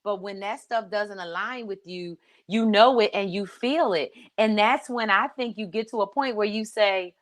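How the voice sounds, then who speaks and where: A woman hosting a casual chat, halting and searching for her words with many fillers. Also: medium pitch. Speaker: host. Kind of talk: casual conversation.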